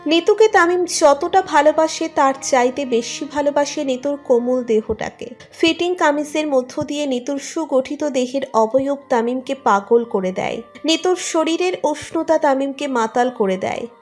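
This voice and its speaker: A woman's voice: high-pitched, moderate pace. A woman reading a scripted romantic story as its narrator. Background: music under the narration.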